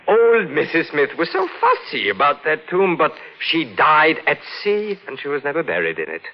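Tormented man, fragile, halting, melodramatic 1940s radio acting, very animated pitch, dull sound. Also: a little hiss or hum. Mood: eager, nervous. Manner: overwrought, halting, stammering. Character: haunted, fragile gardener widower confessing to madness and killing. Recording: dull old radio broadcast recording.

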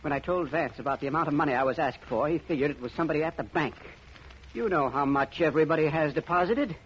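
A man's voice: on the high side, quick pace, breathy edge. A man playing an agitated, suspicious businessman in a 1950s radio drama. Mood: accusing, alarmed. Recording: muffled, noisy old radio recording.

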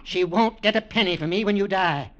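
Sneering, high-pitched businessman uncle, old radio. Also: fairly bright tone. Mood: taunting, sneering. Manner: sneering, deliberate, needling. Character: cold, taunting, wealthy businessman uncle, hard and calculating. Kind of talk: 1940s-50s radio drama acting. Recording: dull vintage radio recording.

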